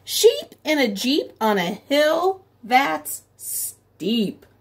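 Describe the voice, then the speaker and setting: Slow-paced middle-aged woman reading to preschoolers. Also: sits high. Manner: expressive, drawn-out story phrases with simple explanatory asides. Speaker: preschool teacher reading aloud. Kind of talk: picture-book read-aloud for young children. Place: small room at home, indoors by a window.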